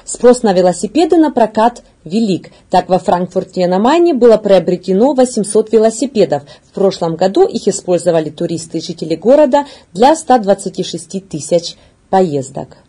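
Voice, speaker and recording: Mellow, husky woman reading the news. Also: mid-range voice, expressive, moderate pace. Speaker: news presenter. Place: indoor studio.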